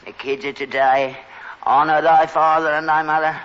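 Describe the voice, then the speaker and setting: Casting: male actor as nagging, stubborn old Cockney rag and bone man, high sharp voice, vintage sitcom, dull recording. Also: level tone, moderate pace, lightly acted. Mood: grumbling. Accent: London Cockney. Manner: querulous, nagging. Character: grumbling, stubborn elderly working-class father, rag and bone man. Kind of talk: vintage British sitcom acting. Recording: dull, lightly noisy vintage broadcast recording.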